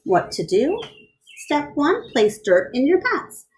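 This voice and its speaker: A woman's voice: high voice, huge pitch swings, slow pace, a little hiss or hum. Woman reading aloud expressively to children. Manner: questioning, explains to young listeners. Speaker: read-aloud storyteller. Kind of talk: expressive picture-book read-aloud for children, with chatty asides.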